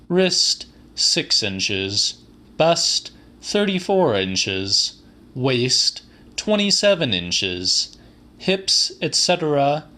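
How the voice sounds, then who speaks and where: A man reads literary fiction with a bright, forceful voice and a deliberately theatrical delivery. His pitch swings widely from phrase to phrase. He takes his time, setting out each phrase slowly and precisely. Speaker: audiobook reader. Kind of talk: slightly dramatic literary audiobook narration.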